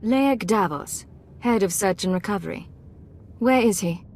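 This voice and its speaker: A woman's voice: medium pitch, unhurried, darkish tone, slight background noise, natural voice. A woman playing a cool, assertive Imperial intelligence agent in a video game. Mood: firm, authoritative.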